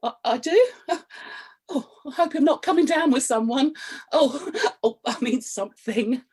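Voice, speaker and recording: A woman's voice: sits high, a little slow, a little mellow, husky. An actress with a British accent playing a prim, flustered married woman, coy and curious, in a radio comedy. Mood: flustered, giggly. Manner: coy, flustered, innuendo-laden delivery with nervous chuckles. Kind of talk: radio play comedy acting, confessional monologue and banter.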